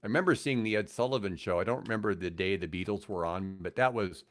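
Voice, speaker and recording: An older man with an American accent, telling rambling, animated anecdotes casually as a radio veteran on a podcast. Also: on the low side, quick pace. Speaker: radio host and guest.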